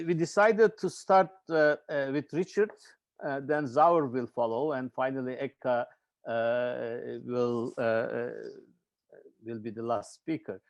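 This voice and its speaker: A man from Turkey with a Turkish accent, moderating an online panel in a halting, hesitant way with frequent repeated words. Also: mid-range voice, dry recording. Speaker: webinar host and moderator. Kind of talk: informal spoken introduction of a panel. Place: online video webinar.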